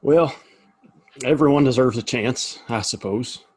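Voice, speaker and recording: An actor playing a nervous, deferential small-town barkeep in a Western audio play, with a hesitant, stammering delivery and a husky voice. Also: male voice, medium pitch, a little slow, a little mellow. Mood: nervous, evasive.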